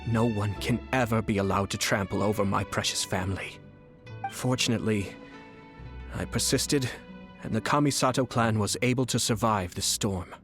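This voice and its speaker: An actor playing a refined, shrewd young clan lord in a video game, speaking in a formal, measured, courtly way. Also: male voice, lowish voice, fairly bright tone, lightly acted. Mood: grave, resolute. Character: refined young nobleman, calm, shrewd clan head and commissioner. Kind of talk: scripted video game character dialogue. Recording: game music behind the voice.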